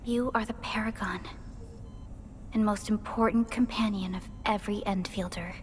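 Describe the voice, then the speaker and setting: A woman playing a calm, caring young supervisor in a video game, speaking gently and formally in a soft, breathy voice. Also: medium pitch, long reverb tail, slight background noise, close to an everyday voice. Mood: earnest, formal. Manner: gentle, measured, formal, reassuring. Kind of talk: scripted video game voice acting.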